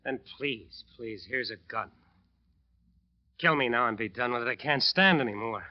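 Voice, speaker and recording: A man's voice: medium pitch, close to an everyday voice. Man acting a haunted, exhausted bandleader, old radio. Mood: desperate pleading. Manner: weary, halting, intense, brooding first-person narration. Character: haunted, exhausted bandleader wasting away under a curse. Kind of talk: 1940s-50s radio drama acting. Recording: dull old radio recording with music behind.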